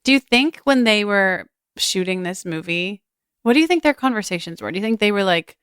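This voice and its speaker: Clear-voiced woman chatting casually. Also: medium pitch, expressive, fairly quick, a bit bright, dry recording, clean studio sound. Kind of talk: casual podcast chat.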